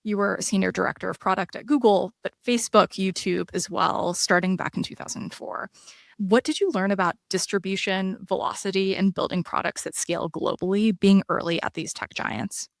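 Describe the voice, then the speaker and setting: A woman's voice: mid-range voice, steady tone, brisk, creaky, no echo, studio quality. A woman hosting an interview podcast, reading a prepared intro and asking questions with engaged interest. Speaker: podcast host.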